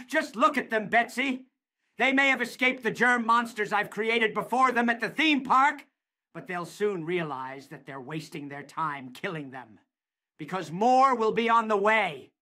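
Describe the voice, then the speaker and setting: Gleeful evil scientist for a cartoon, high voice, super forceful and gloaty. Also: male voice, lively intonation, a little slow, heavily acted. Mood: gloating glee. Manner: theatrical, gloating. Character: gleeful villainous mad scientist with childish cravings. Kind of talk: cartoon comic dub acting.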